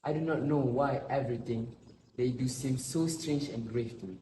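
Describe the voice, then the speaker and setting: A man playing a solemn, sorrowful grandfather in his eighties, speaking deliberately as in an amateur stage play. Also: medium pitch, dark tone, close to an everyday voice. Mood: grave, wondering. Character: sorrowful, grave old grandfather of nearly eighty-three. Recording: roomy echo with faint noise.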